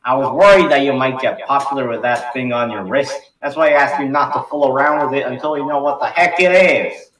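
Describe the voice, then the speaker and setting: A stern, caring grandfather from a cartoon, read by a man at a table read. His voice is forceful and bright. It rings in an echoey room, away from the mic. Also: mid-range voice, brisk, hardly any pauses, voice pushed up, natural voice. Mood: scolding, concerned. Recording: echoey room, distant mic, background noise.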